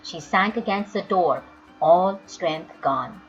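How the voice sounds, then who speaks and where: A woman storyteller reading a children's book aloud with expressive, dramatic, emphatic phrasing. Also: on the low side, slow pace. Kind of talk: expressive children's audiobook narration. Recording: dull lo-fi audio, music underneath.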